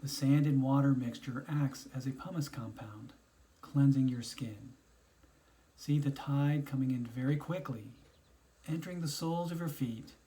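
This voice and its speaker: A man narrating a scripted guided meditation in a soothing, instructional way, pausing between phrases. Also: mid-range voice, mellow.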